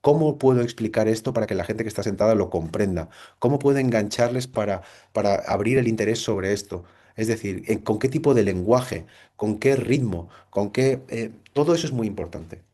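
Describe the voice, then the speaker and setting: A man's voice: lowish voice, fairly quick, warm tone. A middle-aged man from Spain with a Spanish accent, giving a reflective recorded lecture to a computer, full of rhetorical questions and restarts. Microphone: computer mic.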